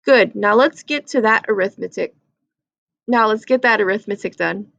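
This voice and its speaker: A woman's voice: mid-range voice, normal speed, creaky, a bit bright, no room sound, dull audio. A woman voicing a stern but fair female schoolteacher in a storybook.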